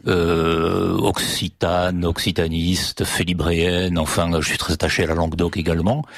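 Deep husky man, stumbling speech. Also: little pitch movement, moderate pace, no room sound, clean studio sound. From Rouergue, southern France. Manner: hesitant, stumbling restarts. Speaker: guest. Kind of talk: conversational discussion.

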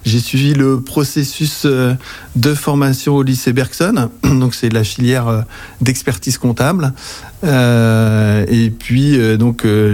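A man in his forties from western France, with a French accent, giving informative interview answers as a company director in a very hesitant, halting way. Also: medium pitch, warm tone. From Anjou, western France. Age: 40s. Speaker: accounting agency director, interview guest. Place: interview on site in an office.

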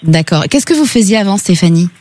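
A woman's voice: mid-range voice, animated, brisk, mellow, dry recording. Reassuring woman hosting a phone-in, music behind. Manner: reassuring, encouraging. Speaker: radio phone-in host giving psychic readings. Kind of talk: live advice to callers, conversational.

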